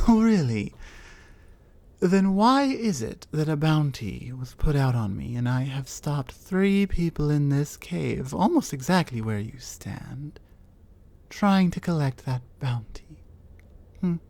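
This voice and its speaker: Dominant female troll, voiced by a woman. Mellow, lively pitch, slow and taunting, with whispers. ASMR roleplay. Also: heavily acted. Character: territorial, dominant female troll living alone in a cave.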